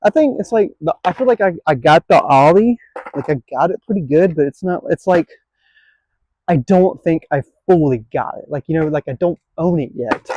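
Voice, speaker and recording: A man's voice: fairly high pitch, expressive, normal speed, darkish tone, dry recording, poor audio. A man in his late twenties filming a casual skateboarding vlog, rambling and self-deprecating, swearing now and then. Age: 20s. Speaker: skateboarding vlogger.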